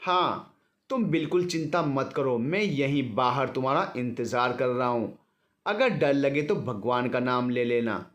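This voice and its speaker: A man's voice: mid-range voice, measured pace, a bit bright, lightly acted. A man voicing an elderly husband who is anxious, tender and a little hesitant, in an audio story. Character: worried, affectionate elderly husband.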